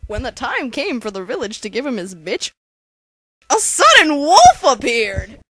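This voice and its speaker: A woman's voice: high-pitched, wildly swooping pitch, measured pace, fairly bright tone, breathy edge, no room sound. A woman co-narrating a fan-made comedy spoof, telling the story in a slangy, mock-dramatic way. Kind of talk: comic storytelling narration of an abridged game parody.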